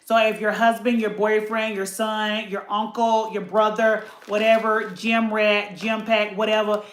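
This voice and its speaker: A woman's voice: medium pitch, level tone, measured pace, reverberant. A woman, chatting casually to camera about a beauty haul and addressing viewers as y'all. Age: late 30s.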